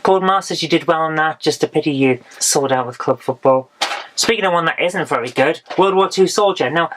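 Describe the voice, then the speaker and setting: A woman, hoarse from losing her voice, chatting frankly through a haul of retro games with dry asides. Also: deep voice, brisk, a little hiss or hum. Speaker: retro game vlogger. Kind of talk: casual game haul review.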